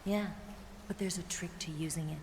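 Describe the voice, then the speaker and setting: Woman acting a sultry, low-voiced female spy. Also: steady tone, moderate pace, darkish tone, a little hiss or hum, natural voice. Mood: confident, briefing. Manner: flirtatious, cool. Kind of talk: dramatic video game cutscene acting. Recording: long reverb, music behind the voice. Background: game soundtrack music.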